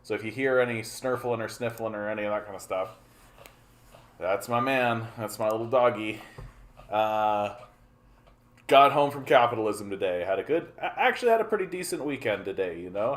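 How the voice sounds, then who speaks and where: A man's voice: lowish voice, fairly quick. 40yo canadian guy chatting on his stream, rambly, lots of uhs, says hi to chat a lot. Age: 40s. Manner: rambling, repeats phrases, many fillers; greets viewers by name. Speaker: livestream host. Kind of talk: casual livestream chat.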